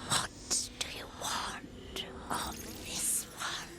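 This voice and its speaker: Whispering, halting summoned spirit of the dead. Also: female voice. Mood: reluctant, challenging. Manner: halting, whispered, speaks of itself as "this one". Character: spirit of a murdered corpse, summoned, reluctant and secretive. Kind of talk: dramatic game acting. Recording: game music behind.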